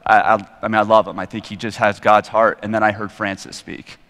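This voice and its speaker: Bright-voiced American man in a reverberant hall. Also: fairly low pitch, brisk. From Colorado, United States. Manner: casual, self-deprecating, animated retelling of dialogue. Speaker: guest preacher. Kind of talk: informal testimony with personal storytelling.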